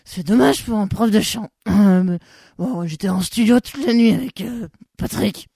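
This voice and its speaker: A woman playing a flustered singing teacher in an amateur radio play. Her voice is hoarse after a night in the studio, and she keeps clearing her throat. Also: medium pitch, moderate pace, dark tone, no room sound.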